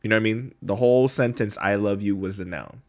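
A man's voice: on the low side, fairly quick, darkish tone. A man co-hosting a casual podcast, teasing his friend and leaning on filler words. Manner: playful teasing, lots of filler like. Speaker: podcast co-host. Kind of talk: casual chat.

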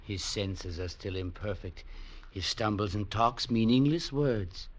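An actor playing a wondering, suspicious blind villager in 1940s radio drama, speaking in a ponderous, incantatory way. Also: male voice, on the low side, unhurried. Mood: solemn. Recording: faintly noisy old radio recording.

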